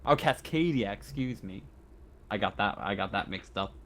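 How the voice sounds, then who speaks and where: A man's voice: on the low side, expressive, normal speed, a bit bright, slight background noise. A man running a tabletop roleplaying game, narrating casually and improvising as he goes. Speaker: game master.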